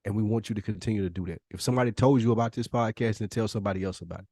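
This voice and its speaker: American male co-host, lower-pitched, very dry recording, earnest and emphatic casual talk. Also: racing, dark tone, no room sound. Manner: earnest, emphatic, repeats phrases for weight. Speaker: podcast co-host. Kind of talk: casual podcast chat.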